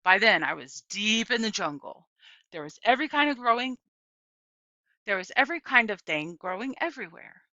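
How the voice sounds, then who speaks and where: A woman narrates a children's story with very varied pitch and a bright delivery. The recording is dry and slightly dull, lo-fi in sound. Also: animated, moderate pace, dry recording. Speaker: audiobook reader.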